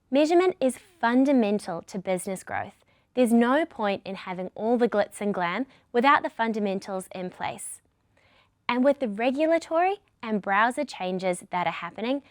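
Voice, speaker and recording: A woman's voice: medium pitch, no room sound, clean studio sound. A woman with a New Zealand accent giving a scripted business training presentation as a product lead.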